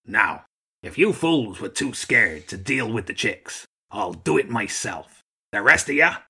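A man voicing a gruff old seagull archduke in a storybook, commanding and shrewd, barking out orders. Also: fairly low pitch, sing-song, takes it slowly, bright, forceful tone, exaggerated voice. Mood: angry, commanding. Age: older.

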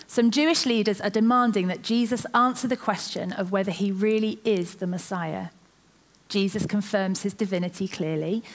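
A woman giving a sermon in church into a microphone, opening with a chatty, self-deprecating story. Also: medium pitch. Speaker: preacher.

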